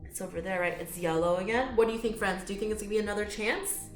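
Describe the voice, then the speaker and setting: A woman reading a picture book aloud to children in an expressive, inviting way, with friendly chatty asides. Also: mid-range voice, fast talker, faint music behind. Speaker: children's storytime reader. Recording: roomy echo.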